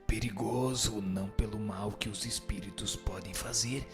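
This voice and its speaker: A man playing a calm, firm spirit teacher who gives measured, didactic answers in a scripted reading, with a breathy, gravelly voice. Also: fairly low pitch, close to an everyday voice. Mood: solemn, instructive. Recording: music bed under the voice.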